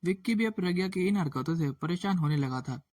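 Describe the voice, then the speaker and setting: A man's voice: high voice, expressive, brisk, background noise. A man narrating a scripted moral story as a storyteller. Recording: faint background music.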